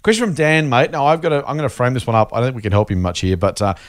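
A man's voice: medium pitch, racing, no room sound, clean studio sound. A man with an Australian accent hosting a podcast, chatty and matey as he talks through listener mail in a casual style. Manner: chatty, addresses others as mate. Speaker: podcast host. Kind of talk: casual listener mailbag discussion.

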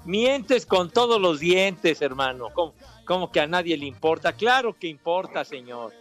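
A man co-hosting a sports talk show, greeting the audience with theatrical, affectionate banter. His voice is quite high, with soft music under it. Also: big pitch swings, moderate pace.

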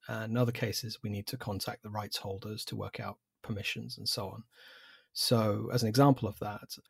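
A man in his forties with an English accent, a publisher answering interview questions in a measured, thoughtful way with some fillers. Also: on the low side, vocal fry, slightly rough, no room sound, studio quality. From England. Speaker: publisher, guest.

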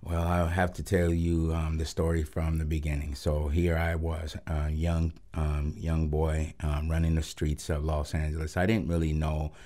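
Very deep-voiced man. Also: monotone, dark tone. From Puerto Rico. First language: Spanish. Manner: halting and reflective, with frequent pauses. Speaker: pastor, guest. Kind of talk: personal testimony on radio.

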